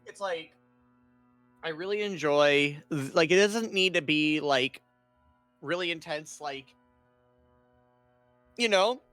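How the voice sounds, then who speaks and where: A woman's voice: sits low, takes it slowly, pauses often, fairly bright tone, dry recording, soft background music. A woman VTuber host chatting casually on a game stream, scattered and full of ums. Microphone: streaming microphone.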